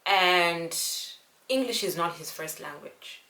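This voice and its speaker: A young woman from Zimbabwe, telling a personal story to camera in an earnest, unhurried way. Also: lowish voice, animated, bright, forceful tone. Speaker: vlogger. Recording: echoey room.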